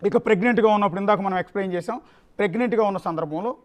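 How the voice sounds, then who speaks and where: High-pitched man anchoring live news. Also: racing. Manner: emphatic, repeats phrases for effect. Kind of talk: live news commentary, extempore.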